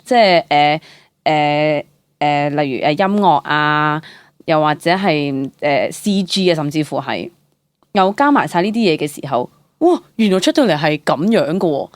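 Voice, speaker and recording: A young woman with a Hong Kong Cantonese accent chats casually as an interview guest, in an animated, colloquial, exclamatory way. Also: sits low, moderate pace, dry recording. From Hong Kong, Southern District. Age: young adult. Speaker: actress, podcast guest.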